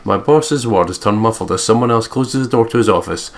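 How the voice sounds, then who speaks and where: A man's voice: fairly low pitch, fairly quick, creaky. A man co-hosting a let's play, reading visual novel narration aloud with joking asides.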